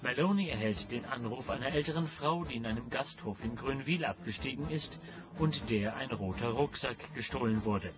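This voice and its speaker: Announcer for radio drama: man, medium pitch, scripted title and recap read over music. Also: moderate pace.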